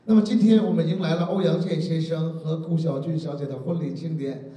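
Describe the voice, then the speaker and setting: An actor playing a ceremonious wedding master of ceremonies in a TV drama, declaiming in a formal, florid style. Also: male voice, a little high, steady tone, fairly quick, mellow, close to an everyday voice. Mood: grand, festive. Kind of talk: grand ceremonial announcing in a TV drama. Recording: very echoey, distant, faint noise and crowd.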